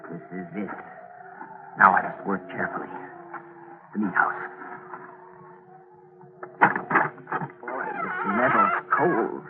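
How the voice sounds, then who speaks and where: An actor in an old-time radio thriller playing a cold, scheming murderer, terse and commanding. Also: male voice, low-pitched, heavily acted. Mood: tense, muttering. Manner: terse, commanding, muttering to himself. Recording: noisy, tinny old radio broadcast recording.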